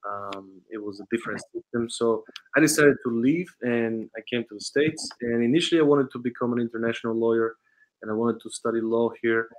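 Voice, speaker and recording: Man in his thirties, Bulgarian accent, earnest, halting with ums, middle pitch. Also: rough recording. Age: 30s. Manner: earnest, searching for words with many ums. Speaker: guest, sales trainer and consultant. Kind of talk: casual interview talk.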